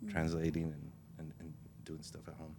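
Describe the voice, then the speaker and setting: Deep-voiced Mexican-born man telling stories. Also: moderate pace, breathy edge. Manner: relaxed storytelling, laughs easily, frequent restarts. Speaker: guest. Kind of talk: casual interview chat.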